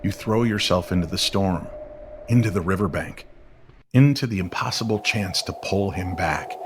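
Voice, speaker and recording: Guy doing a grave wise stranger in a radio play, deep-ish, deliberate, noisy ambience behind. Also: close to an everyday voice. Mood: solemn, firm. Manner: grave, deliberate, gently firm. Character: calm, grave, knowing male stranger guiding a grieving woman. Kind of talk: audio drama dialogue.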